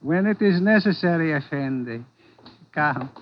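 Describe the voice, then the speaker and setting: An actor playing a courteous, menacing gang boss in an old radio drama, speaking formally and with measured politeness. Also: male voice, fairly high pitch, lively intonation, slight background noise. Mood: polite menace. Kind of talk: 1940s radio acting. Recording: dull, lo-fi 1940s radio recording.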